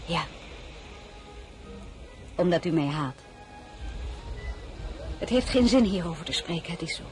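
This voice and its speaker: A woman's voice: on the low side, expressive, unhurried, soft background music. A woman playing a proud, candid young royal woman in a radio drama, speaking formally and with restraint. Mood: guarded, resolute. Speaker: lead actress and first-person narrator. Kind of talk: radio drama acting and narration.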